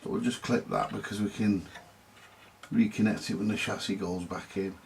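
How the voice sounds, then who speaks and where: British bloke, gravelly voice, chatting and grumbling while he tinkers, noisy recording with clicks. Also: fairly low pitch, fairly even intonation, moderate pace. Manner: muttering to himself while working, mildly grumbling and sweary. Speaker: host of a vintage radio repair vlog. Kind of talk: casual hands-on repair commentary, talking to viewers.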